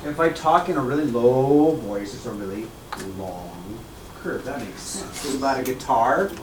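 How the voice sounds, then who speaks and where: A man teaching a math class, lively and repetitive, often checking in with "okay?" and "right?". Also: mid-range voice, moderate pace, background noise. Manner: energetic, repeats key points, asks "okay?" and "right?" often. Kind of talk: classroom lecture.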